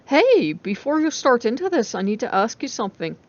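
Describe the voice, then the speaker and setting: A woman's voice: on the high side, very animated, a little fast, dull audio, pitched above the performer's own voice. A woman voicing an awkward, evasive young college woman with a casual, hesitant manner in a story reading.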